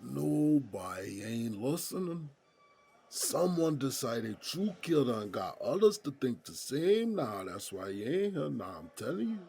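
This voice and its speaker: An American man playing a streetwise, seasoned inmate in an audio drama, with a husky voice and folksy, slangy talk. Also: mid-range voice, sing-song, unhurried, darkish tone, exaggerated voice. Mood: probing, insistent. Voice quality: husky, gravelly. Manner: folksy street slang, probing rhetorical questions. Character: streetwise, seasoned male prison inmate mentoring a younger friend.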